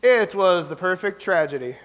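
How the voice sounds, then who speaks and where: An actor playing a gloomy playwright from an older era who talks in a mournful, self-pitying way in a staged play reading. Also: male voice, high-pitched, animated, moderate pace, a bit bright, rough recording. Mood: mopey.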